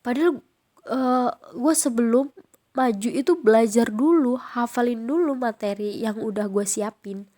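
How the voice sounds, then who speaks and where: A woman's voice: a little high, a little slow, dark tone. A young woman with a Jakarta slang accent, nasal from a cold, chatting casually on her own podcast with frequent fillers. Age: young adult. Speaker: podcast host.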